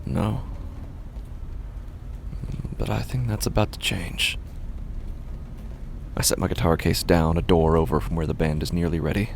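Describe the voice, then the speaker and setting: Low gravelly man acting a brooding street busker. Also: a little slow, pauses often, a little hiss or hum. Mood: sly resolve. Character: desperate, resentful male street busker narrating his descent. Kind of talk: first-person horror audio drama narration.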